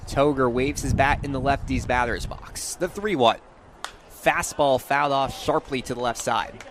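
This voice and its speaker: A man's voice: medium pitch, steady tone, normal speed, noisy background. A young man calling a game live as a sports play-by-play announcer. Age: young adult.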